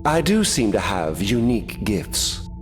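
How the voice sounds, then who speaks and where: A man's voice: lowish voice, sing-song, unhurried. A man playing a sly, ambitious trickster god in a video game, mocking and theatrical, with long reverb around the voice. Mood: smug. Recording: long cavernous reverb with music behind.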